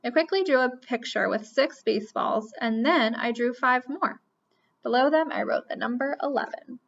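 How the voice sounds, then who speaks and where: A woman reading a children's picture book aloud with expressive storytelling. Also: fairly high pitch, moderate pace, gravelly. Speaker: reader. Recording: dull lo-fi recording.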